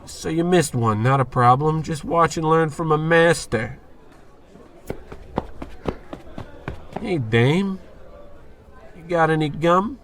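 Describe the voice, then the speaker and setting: A man playing a brash, dim, excitable barfly with slangy, cocky talk in a 1940s radio comedy style. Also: fairly high pitch, unhurried, a little hiss or hum. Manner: slangy, cocky, easily flustered.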